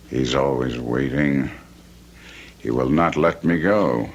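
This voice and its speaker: Low-voiced, haunted, broken man. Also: very slow, muffled recording, exaggerated voice. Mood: haunted, despairing. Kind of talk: old-time radio drama acting.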